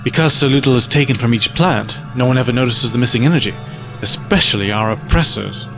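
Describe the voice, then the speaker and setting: A man plays a capable, unflustered rebel scientist in a sci-fi audio drama. He explains things plainly in a medium-pitched voice. Music is clearly heard behind him. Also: fast talker, natural voice. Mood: explaining.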